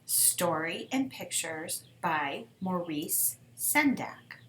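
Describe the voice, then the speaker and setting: A woman reading a children's picture book expressively and deliberately, with dramatic pauses between phrases. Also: on the low side, animated, fairly bright tone, echoey room, slight background noise. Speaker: school program director reading to children.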